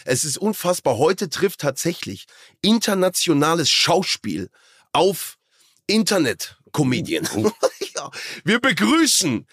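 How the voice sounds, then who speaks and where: Excitable male podcast host, showman energy, bouncy pitch, dry close studio sound. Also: slightly rough, no room sound. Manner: excitable, theatrical, quick to joke. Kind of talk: playful podcast interview.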